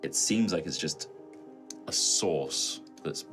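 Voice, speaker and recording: Very deep-voiced man running a tabletop game. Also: expressive, slow pace, music in the background. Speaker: game master. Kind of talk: narrating a tabletop roleplaying game.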